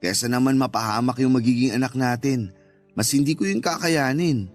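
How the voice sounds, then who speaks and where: A man's voice: mid-range voice, normal speed, close to an everyday voice. A man playing an earnest, protective young husband and dutiful son in a radio drama. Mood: earnest, persuasive. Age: young adult. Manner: earnest, persuasive. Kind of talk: Tagalog radio drama acting. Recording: music bed under the voice.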